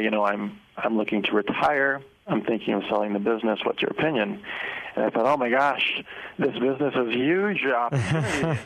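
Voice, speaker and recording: Low gravelly middle-aged man, hesitant. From United States. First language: Spanish. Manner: hesitant, many fillers and restarts. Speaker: business owner guest. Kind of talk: casual radio interview. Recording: muffled lo-fi radio broadcast.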